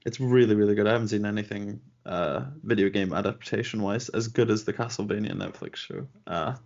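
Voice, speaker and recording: A man with a low, gravelly voice talks through his opinions at an easy pace, chuckling now and then. The recording sounds dull and lo-fi. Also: darkish tone. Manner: measured, laughs often, rates things out of ten. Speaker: podcast co-host. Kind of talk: casual discussion.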